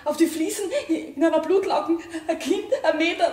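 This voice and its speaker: Horrified Viennese hairdresser, radio play, very high frantic voice, slightly distant, roomy. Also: female voice, moderate pace, darkish tone. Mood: horrified, sobbing. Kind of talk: radio drama acting.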